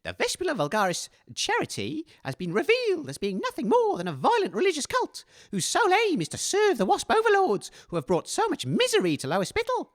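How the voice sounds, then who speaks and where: A man's voice: very high voice, huge pitch swings, brisk, hardly any pauses, no room sound, clean studio sound. A nervous, flustered man hosting a comedy radio show in character, often stammering.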